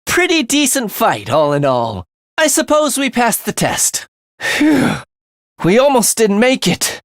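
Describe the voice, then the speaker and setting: An actor playing a morbid young man in a video game, a soft-spoken killer who is languid, menacing and gleefully excited by death. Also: very high voice, unhurried, no room sound, studio quality, exaggerated voice. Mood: satisfied, languid. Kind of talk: theatrical video game voice acting.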